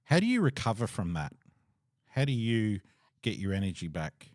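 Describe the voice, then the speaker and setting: A man hosts a relaxed podcast conversation over a call. His voice sits on the low side and sounds dry and close, and he rambles through fillers with frequent laughter. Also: normal speed, no echo. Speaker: podcast host. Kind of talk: casual interview chat.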